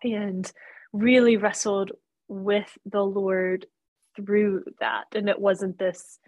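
A woman's voice: medium pitch, flat delivery, takes it slowly, darkish tone, no echo. A woman in her thirties, raised in North Carolina, speaking earnestly as a guest author in a casual interview, with frequent fillers. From born in Romania, raised in North Carolina. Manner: earnest, thoughtful, with many fillers.

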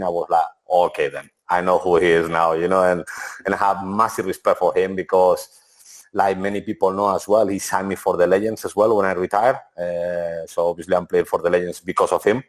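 A man's voice: deep voice, little pitch movement, brisk, poor audio. A man in his forties, a former footballer and interview guest, speaking with many restarts and "to be honest". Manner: non-native English, frequent "to be honest" and restarts. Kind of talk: relaxed interview answers.

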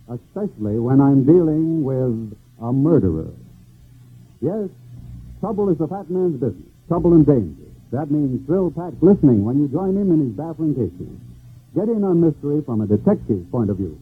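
A man with a very husky, fairly high voice reads a 1940s radio promo in a polished, emphatic announcer style. His pitch swings widely, and the old broadcast sounds thin and phone-like.

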